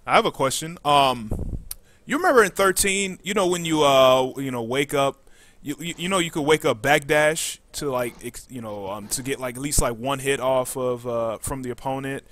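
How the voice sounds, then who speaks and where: A man's voice: mid-range voice, normal speed, a bit bright, slight background noise. A man hosting a casual live chat show, putting questions to guests in a hesitant, wandering way with many filler asides. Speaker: host relaying questions from chat.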